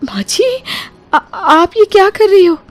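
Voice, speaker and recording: A woman's voice: very high voice, big pitch swings, slow pace, exaggerated voice. A woman playing a humble, distressed young mother in an acted moral story, with a polite, earnest, plaintive way of talking. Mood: moved, grateful.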